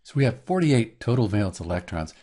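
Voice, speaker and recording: Low-pitched man explaining with lively intonation. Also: a little fast. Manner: clear step-by-step explaining. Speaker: chemistry teacher, tutorial host. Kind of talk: scripted instructional narration.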